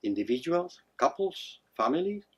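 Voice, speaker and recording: Husky-voiced Argentine man, halting and careful. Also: on the high side, big pitch swings. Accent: Argentine Spanish. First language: Spanish. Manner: halting, careful, many fillers and restarts. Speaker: psychotherapist. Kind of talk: self-introduction for a therapist profile.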